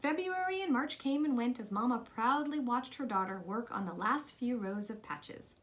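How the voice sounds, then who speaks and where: A woman reads a children's story aloud. Her voice sits a little high, and she delivers the text as a storybook narrator would. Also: animated, normal speed. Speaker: storybook reader.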